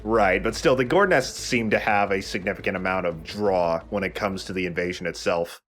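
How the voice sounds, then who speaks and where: A man's voice: low voice, moderate pace, fairly bright tone. A man doing casual commentary as he plays a video game with others. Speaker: let's play commentator. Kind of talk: casual gameplay commentary chat. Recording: game music behind the voice. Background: video game music.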